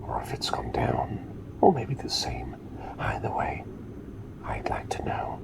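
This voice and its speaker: Man playing a slow, whispering, gentle plague doctor. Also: wildly swooping pitch, heavily acted. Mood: soft, attentive. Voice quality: breathy whisper with low gravelly creak. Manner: soothing, unhurried, quaintly polite. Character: gentle, courteous, caring plague doctor, male. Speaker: ASMR performer. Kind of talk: whispered ASMR roleplay, personal attention. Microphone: close microphone.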